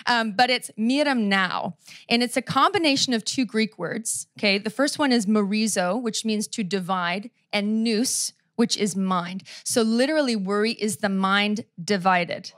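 Woman, 30s, Canadian accent, sermon, church stage, handheld mic, dry sound, middle pitch. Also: fairly bright tone, no echo. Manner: conversational and self-deprecating, slows down to read scripture. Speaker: pastor. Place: church stage before a seated audience. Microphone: handheld microphone.

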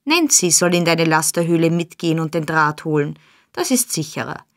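A woman reading an audiobook, voicing a brutal male burglar who blusters and accuses, raised in pitch and loud. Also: expressive, normal speed. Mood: angry. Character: brutal, surly male burglar, angry and demanding. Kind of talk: audiobook character voice.

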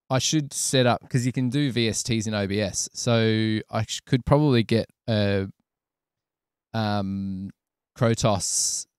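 A man's voice: lowish voice, a little mellow, clean tone, dry recording. An Australian man in his thirties chatting casually on a livestream in a joking, slangy, rambling way, close to a desk mic. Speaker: live streamer, sound editor. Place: small indoor studio. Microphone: close desk microphone.

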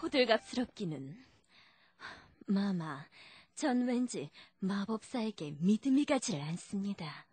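A wary, dignified puppet-show queen. Her voice is very husky and mid-pitched, formal and cautioning, over faint music. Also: sing-song, measured pace, darkish tone. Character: worried, distrustful queen, dignified mother. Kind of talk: children's puppet show voice acting.

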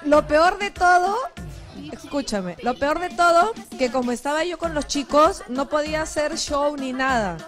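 A high-pitched woman in her thirties chats in a lively, storytelling way on a live outdoor TV link. She speaks into a handheld mic on a street. A faint music bed runs underneath. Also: moderate pace. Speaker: TV show host on a live remote link. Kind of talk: casual live TV chat.

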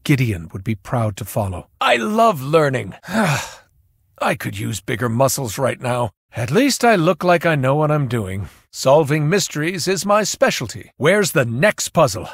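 A man's voice: medium pitch, big pitch swings, unhurried, creaky, slightly rough, dry recording, clean studio sound, exaggerated voice. A young man playing a thoughtful, cerebral young mage in a video game, earnest and self-doubting, with an introspective, wry delivery. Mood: curious, delighted, then rueful, vexed. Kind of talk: video game character voice lines.

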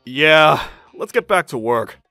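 A man's voice: fairly high pitch, expressive, slow, pushed and bright, soft background music, exaggerated voice. An actor playing a tough, caring young half-werewolf handyman in an anime dub. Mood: earnest.

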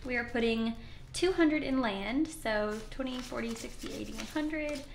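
A woman's voice: a little high, moderate pace, creaky, reverberant. A woman with a slightly scratchy voice, chatting casually and upbeat as she counts cash at a table for her budgeting channel. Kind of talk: casual talk to camera while counting cash. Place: indoors at a wooden table.

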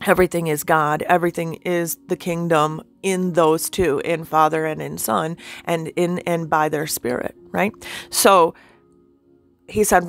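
A woman with a nasal, sinusy voice, pitched a little low and full of vocal fry, teaching about faith. She talks earnestly and keeps checking in with her listener. Soft music plays faintly underneath. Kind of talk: informal spiritual teaching monologue.

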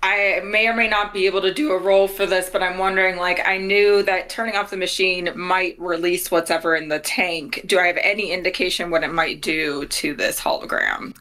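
A woman's voice: fairly low pitch, fairly even intonation, brisk, hardly any pauses, bright, forceful tone. A young woman playing a tabletop game, casually talking through her character's actions and questions. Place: small indoor room, video call.

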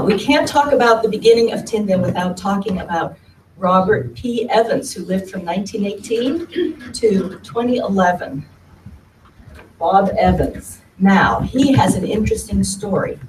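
A woman's voice: medium pitch, a little mellow. A woman giving a conversational talk from a lectern to an audience in a meeting room, often repeating words as she goes. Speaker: speaker giving a history talk. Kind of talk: presentation at a lectern. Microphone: far camera at the back of the room. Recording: roomy hall from far off, faint hum.

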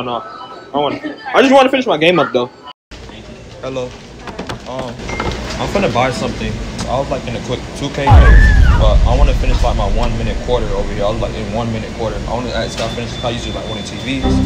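A young man in casual prank-vlog chat with restaurant staff, pleading and animated, often saying "bro", recorded on a handheld camera in a fast food restaurant. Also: mid-range voice, very noisy. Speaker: prank vlogger.

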